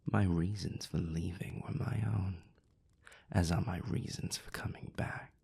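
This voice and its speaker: A man voicing a haughty, sardonic male vampire in an audio roleplay, speaking with dry, mocking politeness in a gravelly, breathy voice. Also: low-pitched, fairly even intonation, measured pace, darkish tone, exaggerated voice. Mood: cool, cutting.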